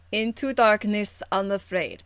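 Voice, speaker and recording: A woman's voice: medium pitch, fairly bright tone, clean tone, dry recording. A woman voicing a stern, proper female warrior in a video game, speaking formally with a dry, weary exasperation. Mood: weary, disapproving. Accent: foreign, European-tinged. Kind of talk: video game character acting.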